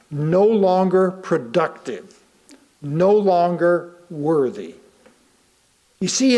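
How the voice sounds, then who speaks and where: An American man reads a sermon aloud to a congregation, speaking deliberately with pauses for emphasis and rhetorical questions. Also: high-pitched. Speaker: lay reader delivering a sermon.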